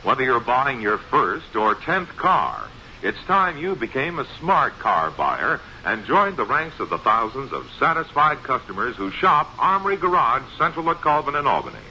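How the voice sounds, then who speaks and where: A man's voice: medium pitch, very animated, moderate pace, bright, forceful tone. A man reading a scripted 1960s radio commercial in an emphatic salesman's manner. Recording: dull, faintly noisy 1960s radio recording.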